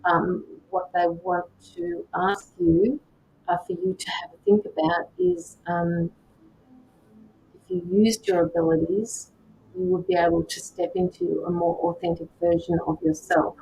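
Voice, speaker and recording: A woman doing live tarot and mediumship readings, speaking gently to viewers by name with frequent hesitations. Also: animated, measured pace, gravelly. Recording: slightly dull lo-fi sound with faint rumble.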